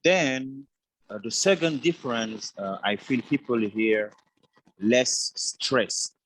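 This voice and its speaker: A man from Switzerland, a native French speaker with a French accent, answering interview questions casually and haltingly with constant verbal tags. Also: medium pitch, fairly bright tone, low-quality recording. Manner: halting, repeats "you know" constantly. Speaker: interview guest, businessman.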